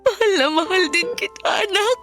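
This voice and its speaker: A woman's voice: very high-pitched, expressive, normal speed. A woman playing a devoted, anxious Filipino mother in a radio drama, earnest and emotional. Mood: tender, tearful. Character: devoted, hopeful, anxious mother of a sick boy. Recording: music bed behind.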